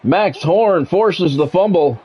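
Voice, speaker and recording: A man's voice: high voice, takes it slowly, a little mellow, slightly rough. A man streaming a sports video game, switching between play-by-play commentary and casual chat. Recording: dull lo-fi audio, music behind.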